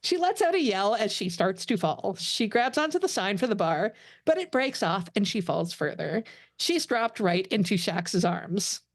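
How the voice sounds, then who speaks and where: A woman narrates a script at a table read, reading out stage directions. Her mid-pitched voice moves with lively intonation and is recorded close and dry. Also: moderate pace, no echo.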